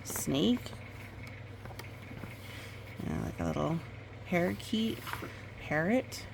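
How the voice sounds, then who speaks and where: A woman reading a picture book aloud in storytime style, with expressive, drawn-out dramatic phrasing and chatty asides. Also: on the low side, creaky, background noise.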